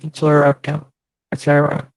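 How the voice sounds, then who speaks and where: A man chatting casually on a live stream, halting and rambling, swearing often. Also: fairly high pitch, monotone, creaky, no echo, rough recording.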